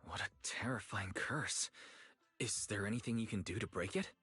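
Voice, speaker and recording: An actor playing a calm, curious, supportive young friend in a video game, gently asking questions in a husky voice. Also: male voice, fairly low pitch, moderate pace, dry recording, faint music behind, exaggerated voice. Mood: concerned, puzzled. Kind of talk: video game story dialogue acting.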